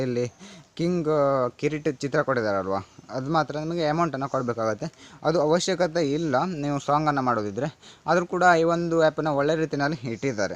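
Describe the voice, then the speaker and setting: A man hosting a casual step-by-step tech tutorial, instructing viewers in a friendly-address, repetitive way. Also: fairly high pitch.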